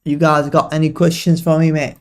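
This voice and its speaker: A man's voice: fairly high pitch, flat delivery, fairly quick, clean tone. Slangy young man, trading stream. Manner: slangy, chatty, teasing viewers. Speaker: livestream trading host. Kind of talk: casual live commentary to chat.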